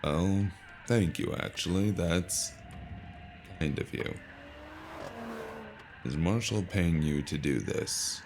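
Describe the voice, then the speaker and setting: A man's voice: deep voice, slow, long pauses. A man playing a dry, weary, hesitant investigator in a cyberpunk noir audio drama. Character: male freelance investigator, dry, weary, awkwardly flirtatious noir loner. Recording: music behind the voice.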